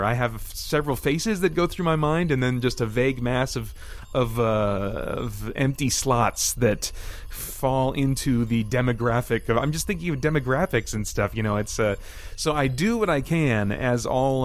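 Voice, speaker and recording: Guy doing a solo podcast, midrange voice, chatty and rambly, kinda thinking out loud. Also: mid-range voice. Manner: rambling, self-interrupting, thinking aloud. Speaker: podcast host. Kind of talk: casual solo podcast talk with scripted bits and asides.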